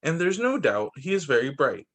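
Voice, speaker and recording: A man reading a children's book aloud as a teacher would, expressive, with deliberate emphasis and the odd halting restart. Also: a little high. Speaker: teacher reading picture books. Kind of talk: read-aloud story time for children.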